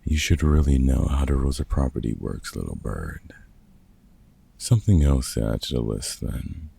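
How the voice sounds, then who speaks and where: A man acting out a gruff, sarcastic older vampire hunter who mentors with grumpy care, husky and dryly teasing, in an audio roleplay. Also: very deep voice, animated, moderate pace, dark tone, exaggerated voice. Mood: teasing, fond. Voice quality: gravelly, husky. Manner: dry, teasing, sardonic.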